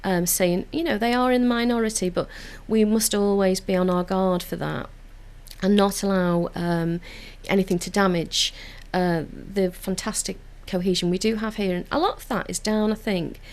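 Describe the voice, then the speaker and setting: A woman's voice: medium pitch, steady tone, normal speed, darkish tone. A woman with a Liverpool accent, speaking in an earnest, enthusiastic way in a radio studio interview about community work. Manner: earnest, enthusiastic, with frequent filler restarts. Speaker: council community engagement officer.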